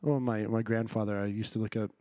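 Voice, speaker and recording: A man's voice: lowish voice, steady tone, fast, a little mellow, no room sound, clean studio sound. A man co-hosting a podcast in casual banter, rambling and cracking dirty jokes. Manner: rambling, dirty-joking, says "you know what I'm saying". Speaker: podcast co-host and audio engineer.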